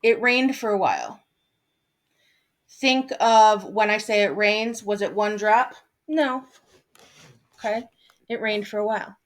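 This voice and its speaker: Slow-talking woman teacher in her thirties. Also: medium pitch. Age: 30s. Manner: slow, chatty explaining with frequent ums and asides. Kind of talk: recorded online lesson. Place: at home, seated at a desk.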